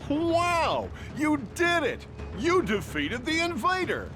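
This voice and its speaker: An actor playing an excitable, admiring cartoon sidekick in a video game, gushing in exclamations. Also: high-pitched, takes it slowly, exaggerated voice. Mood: amazed, admiring. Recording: music behind the voice.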